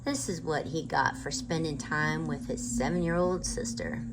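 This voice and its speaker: A woman's voice: low voice, normal speed, noisy background. A woman hosting a children's storytime and reading a chapter book aloud. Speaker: storytime host and reader.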